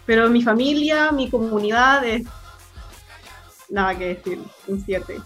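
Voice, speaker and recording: A young woman with a Chilean accent, a filmmaker chatting casually as an interview guest, relaxed and laughing often. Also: on the high side, measured pace, soft background music. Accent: Chilean Spanish. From born in Hawaii, raised in Chile. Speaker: guest, filmmaker and film teacher. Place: remote interview from Hawaii.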